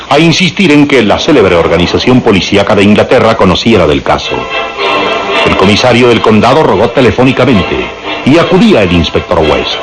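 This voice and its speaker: A male narrator delivers scripted radio drama narration in a dramatic style. His fairly low voice moves widely and expressively in pitch, with music clearly playing behind it. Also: low-quality recording.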